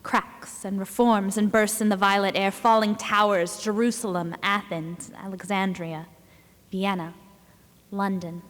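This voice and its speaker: Female reader, solemn and deliberate, dramatic verse recital, medium pitch, long hall reverb. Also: level tone, fairly bright tone.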